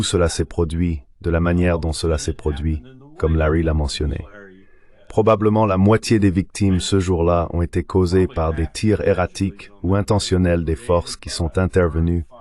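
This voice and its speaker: A man's voice: sits low, normal speed, a little mellow. A man's synthetic dubbing voice delivering translated political commentary in a discussion.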